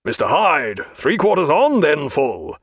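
A man voicing a male naval captain who gives crisp, curt orders to his crew. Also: fairly high pitch, sing-song, unhurried, fairly bright tone, no room sound, studio quality, voice pushed up, full character voice. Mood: commanding.

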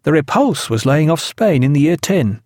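A man's voice: on the high side, very animated, moderate pace, a little mellow, no echo, clean studio sound. A man voicing an earnest naval officer telling grim sea tales in an audiobook. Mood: grave, vivid.

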